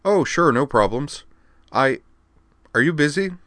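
A man's voice: on the high side, expressive, a little slow, fairly bright tone, no echo, voice pushed up. A man voicing an eager, earnest young woman student in an audiobook, talking in a chatty, slightly sheepish way. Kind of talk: audiobook character voice.

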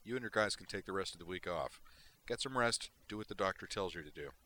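A man voicing a male starship captain who talks in a brisk, commanding way. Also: deep voice, lively intonation, pushed and bright, exaggerated voice.

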